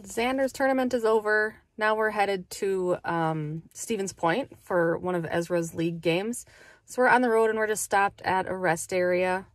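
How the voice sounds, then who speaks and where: A middle-aged woman, a mother of teenagers, talking casually to a phone camera while driving and walking, a bit rushed. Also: medium pitch, fairly even intonation. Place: car, hotel hallways and parking lot. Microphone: phone held at arm's length. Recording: phone audio with clear background noise.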